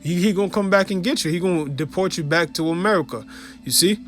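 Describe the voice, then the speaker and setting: A man's voice: high voice, fast talker, soft background music. A man with a Haitian accent giving an informal religious teaching, peppered with "you know" and "you see". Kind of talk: informal preaching video.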